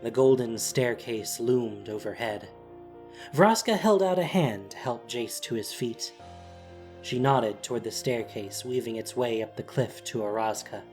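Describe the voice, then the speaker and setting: A narrator with a husky, breathy voice reads a dramatic story in measured, solemn, deliberate phrases. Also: mid-range voice, a little mellow, faint music behind.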